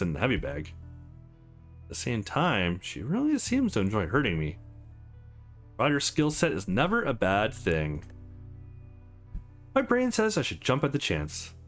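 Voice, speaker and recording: A man's voice: mid-range voice, wildly swooping pitch, measured pace, faint music behind, pitched below the performer's own voice, exaggerated voice. A man voicing a wisecracking, outmatched male hero from a visual novel in a wry, sarcastic, casual way.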